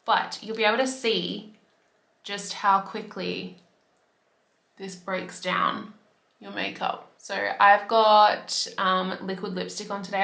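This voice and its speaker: Young aussie woman vlogging, bit raspy from a cold, chatty, lots of "like", bright tone, roomy echo. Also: medium pitch. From Australia. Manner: chatty, upbeat, fillers like "like". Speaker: beauty vlogger. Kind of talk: casual talk to camera. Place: bedroom by a window.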